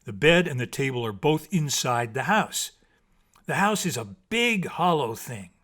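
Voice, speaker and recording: A man playing a hunter in a storybook, a patient teacher explaining things in clear, divided tones. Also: medium pitch, big pitch swings, measured pace, a bit bright.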